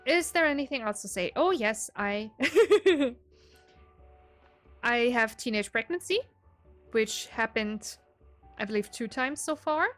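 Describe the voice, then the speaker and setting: Young woman w/ a german accent going over her game save, kinda high voice, chatty and laughy, music playing faintly behind. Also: animated, slow, long pauses, fairly bright tone. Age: 20s. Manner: chatty, self-deprecating, laughs often, some restarts. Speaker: gaming video creator. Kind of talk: casual gameplay explanation.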